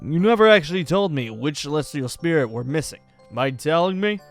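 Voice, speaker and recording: A man's voice: on the high side, lively intonation, brisk. A man playing a curious, easily impressed young gunslinger in an improvised Minecraft roleplay, talking casually and full of questions. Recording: background music under the voice.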